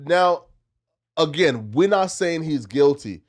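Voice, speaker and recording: A man's voice: fairly high pitch, expressive, slow pace. A man in a casual podcast debate, speaking emphatically and argumentatively in slang. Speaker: podcast panelist.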